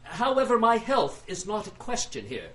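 Pompous, melodramatic male industrialist, acted, high-pitched with animated swings, grandly pleading his case in a radio play. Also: moderate pace, full character voice. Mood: indignant, formal. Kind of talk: audio drama acting.

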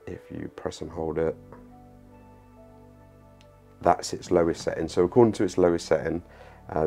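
A man in his thirties with a very deep, monotone voice talks through a product at home, halting often with ums and uhs. He sits close to the camera in a small living room by a window. Soft music plays faintly underneath. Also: vocal fry. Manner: hesitant, many ums and uhs, repeats himself. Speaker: outdoor gear reviewer. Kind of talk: casual product demo to camera.

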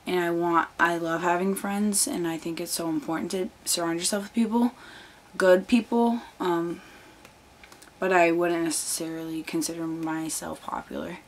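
Teen girl with a tired, strained voice. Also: on the low side. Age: teens. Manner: chatty, earnest, many fillers. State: losing her voice. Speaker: vlogger. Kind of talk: casual chat to camera. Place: bedroom, indoors. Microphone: camera on desk, close framing.

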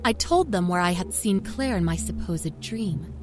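A woman acting a wry, unrepentant young killer, lively. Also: on the low side, moderate pace, pitched below the performer's own voice, close to an everyday voice. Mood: calculating, wry. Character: cold, matter-of-fact small-town young woman confessing to murder. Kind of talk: first-person dramatic horror story narration. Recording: music under the voice.

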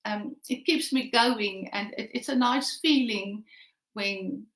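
A woman's voice: medium pitch, slow, a bit bright. A middle-aged woman whose first language is Afrikaans, a shop owner talking earnestly to camera from a living room, with many fillers and restarts. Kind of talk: casual personal talk to camera. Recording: roomy echo from a distant mic.

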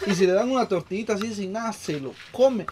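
A woman's voice: mid-range voice, animated, fast. A woman with a Guatemalan accent telling teasing stories in casual conversation outdoors. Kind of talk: casual group chat, teasing storytelling. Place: outdoors in a rural yard. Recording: outdoor group chatter behind the voice.

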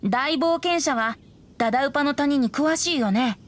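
A woman playing a youthful male wandering bard in a game, breezy and gently teasing. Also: sits high, normal speed, a little hiss or hum, natural voice. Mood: playful. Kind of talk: Japanese game character acting.

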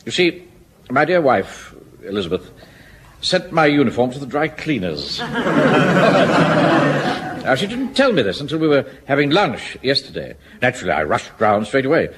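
An actor playing a pompous, indignant middle-aged army captain in a radio sitcom. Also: male voice, mid-range voice, very animated, normal speed, long pauses, close to an everyday voice. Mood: formal. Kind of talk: 1970s radio sitcom acting. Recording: old broadcast with faint hiss.

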